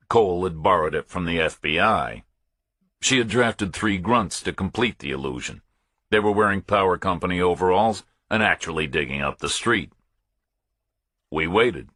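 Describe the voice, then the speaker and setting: A man narrates a thriller audiobook in a very low, raspy voice. His delivery is measured and matter-of-fact, and the sound is dry and close. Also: a bit bright, no room sound. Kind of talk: scripted first-person thriller narration.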